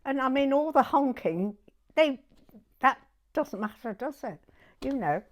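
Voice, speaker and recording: A woman in her nineties with a southern English accent, reminiscing informally in an interview in a chatty, halting way with plenty of "you know". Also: high-pitched, expressive. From Dorset, England. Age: 90s. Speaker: oral history interviewee.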